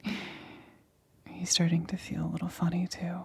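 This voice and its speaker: A breathy, whispering lab assistant played by a woman who has been changed by something and is eerily blissful about it. She speaks very slowly in a lowish voice, seductive and coaxing, in an intimate ASMR roleplay. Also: monotone, exaggerated voice. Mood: seductive, menacing.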